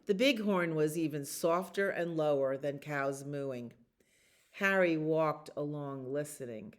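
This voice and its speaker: Woman, low pitch, slow pace, children's storybook reading, expressive and deliberate storyteller. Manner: expressive, deliberate storytelling with drawn-out repeated words. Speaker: librarian reading stories for children. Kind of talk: children's storybook read-aloud.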